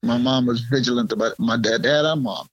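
A man's voice: mid-range voice, brisk, husky, no room sound. A man from Guyana speaking as an interview guest, rambling with frequent fillers and restarts and often laughing. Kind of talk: casual interview conversation.

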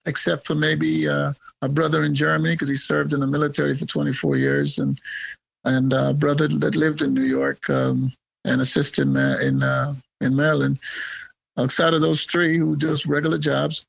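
A man's voice: fairly high pitch, creaky, husky, no room sound, highs cut off. A man from Guyana speaking as an interview guest, rambling with frequent fillers and restarts and often laughing. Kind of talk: casual interview conversation.

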